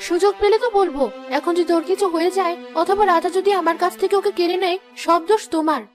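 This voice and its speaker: A woman voicing an anxious, reproachful mother in an animated fairy tale. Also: very high voice, fast talker. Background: faint background music.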